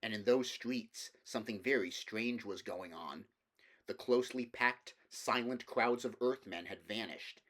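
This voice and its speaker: Man, fairly low pitch, amateur audiobook reader, scripted fantasy narration. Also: measured pace, fairly bright tone.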